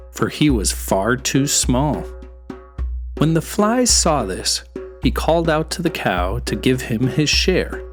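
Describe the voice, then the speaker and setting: A storyteller giving an expressive scripted narration of a folktale for children. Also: medium pitch.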